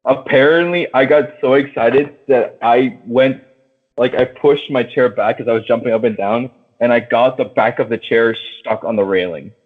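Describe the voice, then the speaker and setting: A young man co-hosting a podcast, chatting casually and excitably about a film in slangy, sweary speech. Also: mid-range voice, moderate pace. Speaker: podcast co-host. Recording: thin, phone-like band-limited audio.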